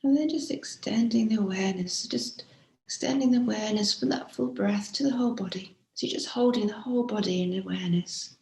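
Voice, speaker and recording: Gentle, creaky-voiced woman guiding meditation. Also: medium pitch. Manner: gentle, unhurried, with frequent pauses. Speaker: meditation teacher. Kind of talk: guided body scan meditation. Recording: roomy, echoing room sound.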